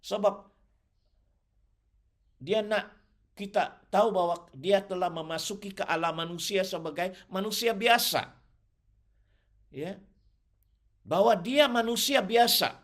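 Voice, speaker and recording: A man's voice: high voice, a bit bright. A man teaching a theology class online, explaining his points and repeating them with "ya" and "so", mixing in English words. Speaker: theology lecturer. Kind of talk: online Bible lecture.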